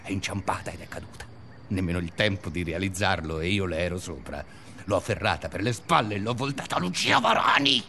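An actor playing a haunted professor in a radio drama, recounting in an intense, grave, slow monologue how he chased a girl. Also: deep voice, lively intonation, bright, forceful tone, a little hiss or hum, full character voice. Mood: tense, haunted.

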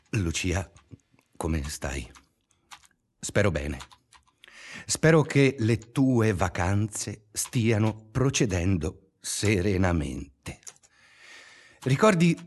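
An actor playing a brooding schoolteacher who narrates his small obsessions in a deliberate, introspective way for a dreamlike radio drama. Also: fairly low pitch. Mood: measured, pointed. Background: music.

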